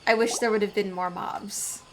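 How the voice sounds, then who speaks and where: A woman's voice: medium pitch, fairly even intonation, moderate pace, slight background noise. A woman streamer giving casual, enthusiastic live game commentary and chatting to her viewers. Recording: game music behind voice.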